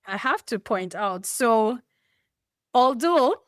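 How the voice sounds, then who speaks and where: A woman with a Trinidadian accent talks in a measured, explanatory way, laughing lightly now and then. Her delivery is slow and unhurried, and her voice is smooth and clear. The sound is dry and close. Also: a little high, animated, dry recording. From Trinidad and Tobago. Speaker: guest. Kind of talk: podcast interview.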